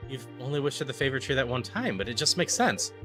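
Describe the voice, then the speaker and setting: A male game streamer with a very lively, swooping delivery reads story dialogue theatrically, moving between narration and character voices. Music plays clearly behind him. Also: medium pitch, fast talker. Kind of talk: livestream let's play, reading game dialogue aloud in character voices.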